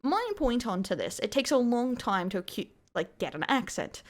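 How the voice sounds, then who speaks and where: A woman's voice: fairly high pitch, very animated, moderate pace. Skeptical woman commenting on drama. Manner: skeptical, critical. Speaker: commentary host. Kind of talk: reaction commentary.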